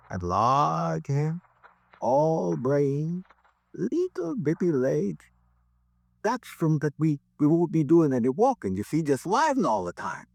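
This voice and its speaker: A man's voice: a little high, creaky, no echo, heavily acted. An actor playing an eccentric, rambling crank who earnestly preaches doom in a 1950s radio drama, hesitating as he goes. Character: eccentric male crank author, rambling doomsday prophet.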